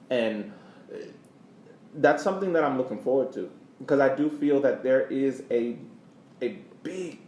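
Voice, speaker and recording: A man's voice: mid-range voice, a little mellow. A man co-hosting a soccer podcast, talking casually and repeating phrases for emphasis. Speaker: podcast host. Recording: roomy echo, faint background noise.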